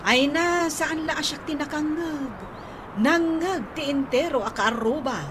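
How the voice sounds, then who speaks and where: A woman's voice: high-pitched, unhurried, background noise. A woman playing a protective, indignant, gossipy older sister in an Ilocano comedy radio drama, speaking emphatically.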